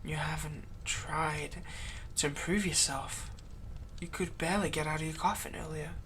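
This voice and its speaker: Man acting a hushed, vengeful newborn vampire. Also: fairly high pitch, steady tone, moderate pace, slight background noise. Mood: menacing, smug. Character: vengeful male former thrall, newly turned vampire, bitter and taunting. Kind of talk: intimate roleplay audio drama, ASMR.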